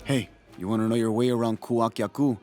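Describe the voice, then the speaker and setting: A man's voice: medium pitch, steady tone, fairly quick, dark tone, faint music behind, close to an everyday voice. An actor playing a chatty local man in a video game who offers to guide a visitor around town. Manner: chatty and knowing. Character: male local villager, a chatty guide who turns eerie. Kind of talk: video game cutscene acting.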